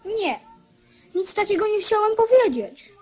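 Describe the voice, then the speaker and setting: A woman playing an earnest young boy who gently admonishes, in a radio drama. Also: extremely high pitch, big pitch swings, unhurried, heavily acted. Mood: conciliatory. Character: earnest young boy, a former king turned worker. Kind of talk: radio drama acting with narration.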